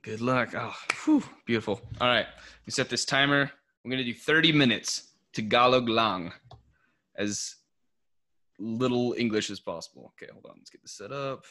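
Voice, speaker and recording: A man's voice: mid-range voice, normal speed, bright, forceful tone. A young American man hosting a casual podcast. He talks in a laid-back, chatty way, laughs often and uses lots of fillers. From United States. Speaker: podcast host. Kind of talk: casual podcast chat mixing English and Tagalog.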